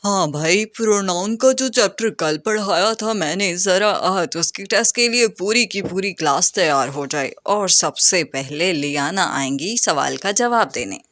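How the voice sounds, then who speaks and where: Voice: medium pitch, animated, measured pace, few pauses, fairly bright tone, no room sound, studio quality. An actor playing a kindly, encouraging male schoolteacher in a cartoon story, with an instructive manner.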